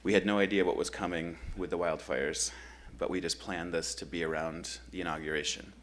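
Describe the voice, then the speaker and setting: A man from Michigan facilitating a grief workshop at a church, talking in a relaxed, conversational way with frequent ums. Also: low-pitched, a little fast, a little hiss or hum. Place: church meeting room.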